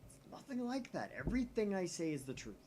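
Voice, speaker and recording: Guy putting on a hammy young mad scientist, pitched up, all secretive and insistent, bit of hiss behind. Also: big pitch swings, fast talker, warm tone. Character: theatrical young male self-styled mad scientist.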